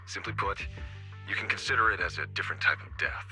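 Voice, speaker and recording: An actor playing a stern, curt commissioner in a video game, speaking formally and with composed authority. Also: male voice, low voice, fast talker, sharp tone, husky, soft background music, heavily acted.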